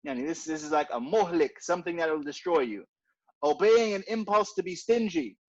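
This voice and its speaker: A man's voice: on the high side, animated, a little fast, darkish tone. A man teaching an informal religious class in a conversational way, repeating his points and often asking "right?". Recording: dull lo-fi recording.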